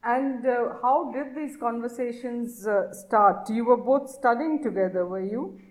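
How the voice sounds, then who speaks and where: A woman's voice: fairly high pitch, measured pace. A woman hosting an interview, asking questions hesitantly with many uhs. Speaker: interviewer, host.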